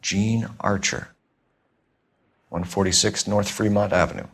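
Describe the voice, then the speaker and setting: An actor playing an earnest, by-the-book young police detective in a 1940s American crime game, questioning in a crisp, formal manner. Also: male voice, fairly low pitch, fairly even intonation, measured pace, a bit bright, a little hiss or hum. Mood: probing. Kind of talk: video game cutscene acting, 1940s American setting.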